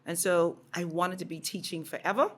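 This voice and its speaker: A woman from the Bahamas in her fifties with a Bahamian accent, speaking as a guest educator in a podcast interview, telling her story in an earnest way with brisk bursts. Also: fairly low pitch, fairly even intonation. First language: Bahamian dialect.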